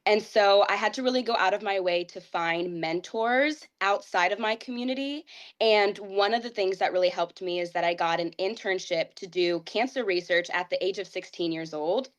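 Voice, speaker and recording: A woman's voice: mid-range voice, moderate pace. Upbeat young woman telling her story. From Ohio, United States. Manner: earnest, upbeat, rambling with fillers. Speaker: pageant titleholder guest. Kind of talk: casual interview storytelling.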